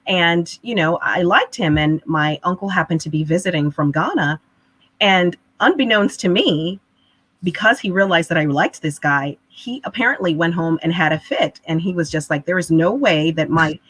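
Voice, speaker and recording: A woman's voice: fairly low pitch, normal speed, breathy edge, no room sound, rough recording. A woman guest on a panel, telling a family story in a chatty way with many restarts. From United States. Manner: chatty, animated retelling with restarts. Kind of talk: conversational storytelling.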